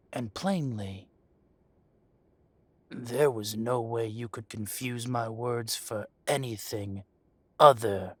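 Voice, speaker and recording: A man acts out an arrogant dragon crime boss with a low voice and very slow, deliberate delivery. He sounds civil on the surface and threatening underneath, like a villain in an audio drama, recorded close and dry. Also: fairly bright tone, husky, no room sound, exaggerated voice. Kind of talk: scripted roleplay audio drama monologue.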